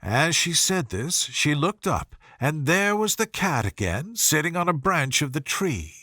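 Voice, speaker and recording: A man narrating a bedtime story in a soothing, gentle, measured way. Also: a little high, very animated, no echo, studio quality.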